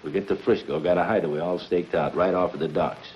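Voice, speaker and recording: A man's voice: sits low, fairly even intonation, fast talker, natural voice. An actor playing a tough, practical henchman with clipped, hard-boiled talk in a 1950s television crime drama. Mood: businesslike, clipped. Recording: muffled, hissy 1950s TV soundtrack.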